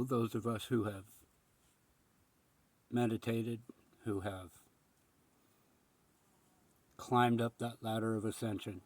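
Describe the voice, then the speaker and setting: A husky male voice in a dry, close setting, speaking as a spiritual teacher thinking aloud. He is earnest and contemplative, rambling through his reflections and leaning on pauses for emphasis. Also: mid-range voice, a little mellow, dry recording.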